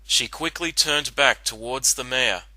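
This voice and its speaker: A man's voice: mid-range voice, a little slow, bright, forceful tone, dry recording, rough recording. A man giving a scripted dramatic reading as the narrator of a story.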